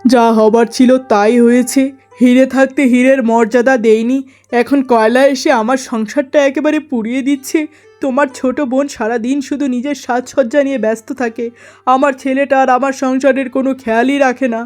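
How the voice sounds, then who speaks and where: High-voiced, aggrieved merchant husband acted by a woman. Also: steady tone, moderate pace, darkish tone, soft background music, lightly acted. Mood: remorseful pleading. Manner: earnest, aggrieved. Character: troubled merchant husband, complaining and regretful. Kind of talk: melodramatic cartoon story acting.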